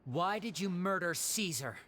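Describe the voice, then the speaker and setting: An actress playing a suave, confident young male stage magician in a video game, with a measured, showy storytelling manner. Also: lowish voice, animated, fairly bright tone. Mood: taunting confidence.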